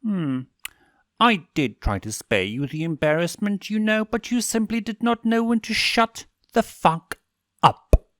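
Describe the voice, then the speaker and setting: A man's voice: high voice, animated, a little slow, a bit bright, no room sound, studio quality, voice pushed up. A man voicing a cold, forcibly pleasant demon owl prince from a fan story, speaking formally with theatrical menace. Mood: dark menace. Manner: formal, courteous, theatrically threatening.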